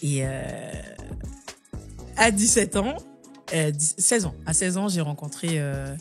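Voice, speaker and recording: A young woman from Guiana, a singer answering interview questions casually, pausing often on fillers and restarting her sentences. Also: low voice, animated, creaky, soft background music. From French Guiana. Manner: hesitant, many fillers and restarts. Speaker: singer, guest.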